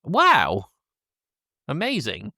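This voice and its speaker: A man's voice: medium pitch, wildly swooping pitch, very slow, gravelly, sharp tone, no room sound, studio quality. A man hosting a casual film discussion podcast, often hesitating and correcting himself as he goes. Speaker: podcast host.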